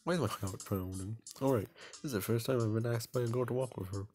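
A man narrates a visual novel in a casual, mid-pitched voice. He reads aloud like a gaming host, stumbling over the text and chatting loosely in a self-mocking way. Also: quick pace, a little mellow.

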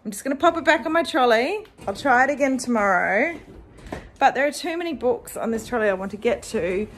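A woman's voice: on the high side, expressive, a little fast, a little hiss or hum. A woman in her thirties with an Australian accent, chatting casually and bluntly in a book vlog, filmed on a phone at arm's length at home. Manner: chatty, blunt, exasperated asides. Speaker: book vlogger. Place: car, then living room at home. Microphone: phone camera at arm's length.

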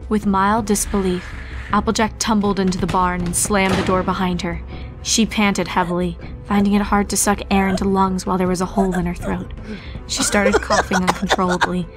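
A woman reading a horror story aloud as a dramatic scripted narration. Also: medium pitch. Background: music.

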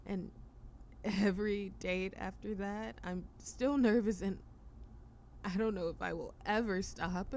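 A woman's voice: medium pitch, unhurried, darkish tone, close to an everyday voice. A woman plays a shy, anxious young girlfriend in an intimate audio roleplay. She is affectionate but overthinks, stammering and hesitating as she speaks. Faint ambient noise sits behind her. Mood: anxious, confessional.

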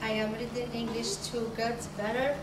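A young woman from Morocco with a Moroccan Arabic accent, an adult literacy student speaking in simple, halting English with many restarts. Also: a little high, fairly even intonation. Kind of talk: halting prepared remarks. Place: community room, at a podium. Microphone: podium mic, far camera. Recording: echoey room from far off, background noise.

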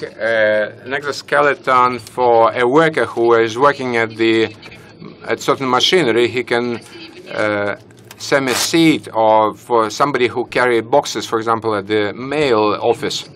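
A young man speaking on a conference panel into a handheld mic, halting with many fillers and restarts. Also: mid-range voice, fairly bright tone. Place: conference stage, large hall. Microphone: handheld microphone.

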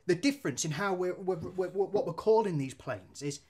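A down-to-earth man in his thirties, his voice sitting a little high for a man. He talks through a woodworking demonstration in a brick workshop, loose and chatty, dropping mild swears and local slang as he works at the bench. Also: expressive, moderate pace, warm tone, breathy edge. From England. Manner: talks while handling and planing wood at a bench. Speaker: woodworking teacher. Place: indoor workshop with brick walls.

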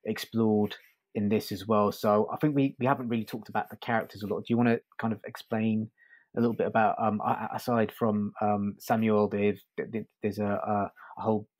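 A man co-hosting a podcast review, talking casually in a halting way that often circles back on itself. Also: fairly low pitch, level tone, darkish tone. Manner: halting, circling delivery with many hedges. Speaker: podcast co-host reviewing audio dramas.

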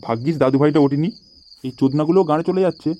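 Cartoon village grandpa, done by a man: old, crotchety, fairly high male voice, rapid grumbling. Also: warm tone, natural voice. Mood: grumbling, scolding. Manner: grumbling, scolding. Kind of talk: amateur comedy cartoon dub.

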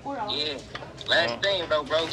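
A man's voice: on the high side, animated, takes it slowly, crowd noise. Slangy man on speakerphone, busy background. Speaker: prankster's phone caller. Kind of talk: casual slangy phone chat. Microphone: phone call played on speaker. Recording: speakerphone in a busy public store.

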